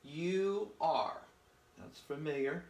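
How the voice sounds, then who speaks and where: A man's voice: a little high, very animated, takes it slowly, creaky, a little mellow. A man whose first language is English, giving a casual spoken Spanish lesson in a patient, folksy way and checking in often with "okay?". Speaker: Spanish teacher.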